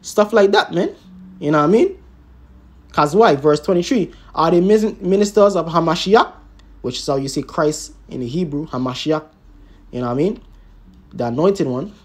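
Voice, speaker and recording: A man's voice: a little high, lively intonation, moderate pace, pauses often, husky. A man teaching scripture in an emphatic, informal way, peppering his talk with tag words and rhetorical questions. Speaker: religious teacher.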